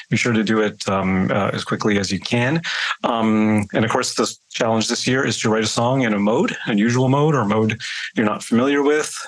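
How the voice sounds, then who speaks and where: This man speaks with a Toronto accent at an ordinary pitch for his sex. He hosts a casual podcast chat, rambling a little and piling up fillers and restarts as he thinks aloud. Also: rough recording. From Canada. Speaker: podcast host.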